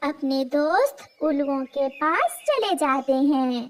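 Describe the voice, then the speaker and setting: A woman's voice: sits high, very animated, slow pace. A woman narrating a scripted children's cartoon story.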